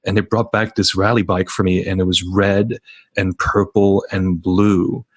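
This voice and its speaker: A man's voice: low voice, flat delivery, a little slow, breathy edge, dry recording. A middle-aged man talking earnestly as a podcast guest, telling stories with frequent restarts. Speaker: podcast guest, startup founder. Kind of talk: casual podcast interview.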